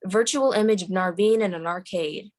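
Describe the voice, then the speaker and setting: A woman with a medium-pitched voice serves as narrator at a table read. She reads out scripted scene headings and action. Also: moderate pace. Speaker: narrator reading screen directions.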